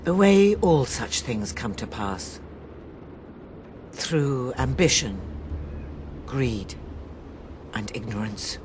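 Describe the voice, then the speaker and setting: A woman voicing an ancient seer who tells old history in a grave, measured way. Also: rumbling low, expressive, a little hiss or hum, exaggerated voice. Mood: solemn. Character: ancient female seer, solemn and guiding. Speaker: narrator. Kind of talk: game cutscene narration. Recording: loud game score behind.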